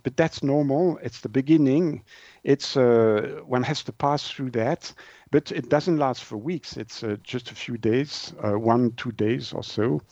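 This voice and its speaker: A man's voice: mid-range voice, a little mellow, no room sound. A man with a French-Swiss accent, a native French speaker, explaining things in an interview and searching for words as he goes. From Switzerland. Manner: halting, searching for words in non-native English. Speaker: interview guest, naturopath and author. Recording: dull lo-fi audio.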